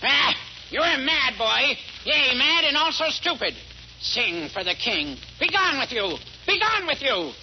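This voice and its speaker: A haughty landowner barks archaic scorn in a strained, very high voice, as a man puts him on. It comes through a dull, muffled 1940s radio broadcast, with a steady hiss behind it. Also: a little slow, exaggerated voice. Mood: scornful. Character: stern, scornful rich male landowner.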